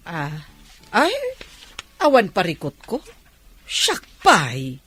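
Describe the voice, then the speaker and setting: An actress with an Ilocano accent playing a lonely, anxious older aunt in a radio drama. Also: female voice, lowish voice, wildly swooping pitch, drawn-out words, fairly bright tone, faint music behind, exaggerated voice. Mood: sad, pensive.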